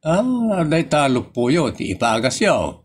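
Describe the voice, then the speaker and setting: A man's voice: a little high, big pitch swings, a little slow, a little hiss or hum. A man voicing an exasperated, insistent clinic nurse with an Ilocano accent in a comedy audio drama. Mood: questioning. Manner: insistent, repeating himself. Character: exasperated male clinic nurse interviewing a rambling patient.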